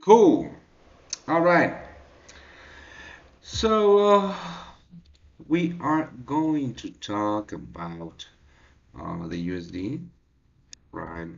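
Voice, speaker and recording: A man hosting a trading livestream in a relaxed, halting way, with many fillers as he answers the chat. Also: fairly low pitch, creaky. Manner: reads and answers chat directly. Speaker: trading show host. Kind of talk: casual livestream market talk. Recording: dull lo-fi livestream audio.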